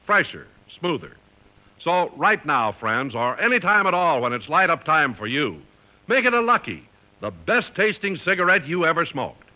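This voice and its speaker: Bright, forceful male radio announcer. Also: fairly high pitch, poor audio. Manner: polished, emphatic salesmanship. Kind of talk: 1950s scripted radio advertising and announcing.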